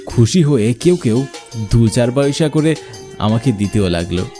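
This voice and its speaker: A man playing a travelling bioscope showman, narrating an eerie cartoon story in the first person with expressive delivery. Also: mid-range voice, unhurried, music in the background, close to an everyday voice. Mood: storytelling. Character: travelling village bioscope showman telling his own eerie tale.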